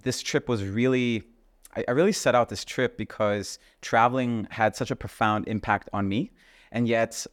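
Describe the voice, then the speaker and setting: A man hosting a podcast, speaking earnestly and gratefully, with halting restarts and fillers. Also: lowish voice, clean tone, no room sound, studio quality. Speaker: podcast host. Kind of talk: casual interview.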